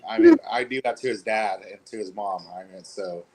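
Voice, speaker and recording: A man on a video call as a panel guest, soft-spoken, earnest and rambling. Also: fairly low pitch, huge pitch swings, brisk, low-quality recording. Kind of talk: casual chat.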